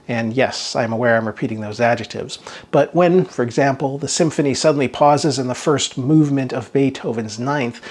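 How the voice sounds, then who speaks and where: A man narrating a scripted review as an audio equipment reviewer, measured and articulate, stressing key words. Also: medium pitch.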